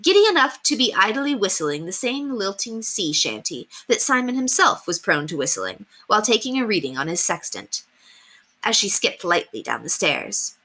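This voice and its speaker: A woman giving an expressive, dramatic narration of a children's novel, with deliberate phrasing. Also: mid-range voice, breathy edge. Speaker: storybook reader.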